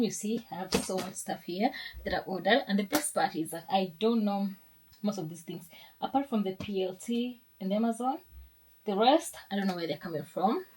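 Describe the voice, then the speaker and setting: A woman's voice: mid-range voice, a little mellow, husky, rough recording. A young woman, chatting casually in a sit-down vlog, upbeat and rambling with restarts. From Kenya. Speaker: lifestyle vlogger.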